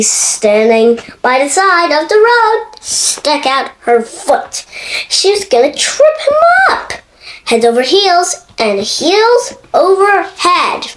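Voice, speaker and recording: Slow, high-pitched, expressive little girl reading. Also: rough recording. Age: child, six years old. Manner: expressive, with stumbles and self-corrections. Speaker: young storybook reader. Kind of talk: reading a picture book aloud.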